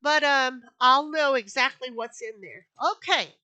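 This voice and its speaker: A woman walks through a craft project in a high, unhurried voice, chatting and repeating herself as she works. She sounds a little sniffly from allergies. The sound is close and dry. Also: bright, forceful tone, clean tone, no echo, muffled recording. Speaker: craft channel host. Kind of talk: casual crafting tutorial. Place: home craft room.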